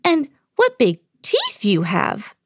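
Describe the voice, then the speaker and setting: A woman's voice: a little high, huge pitch swings, slow pace, no echo, clean studio sound, pitched above the performer's own voice, full character voice. A woman voicing a kind, polite storybook girl with an earnest, courteous manner. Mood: wondering.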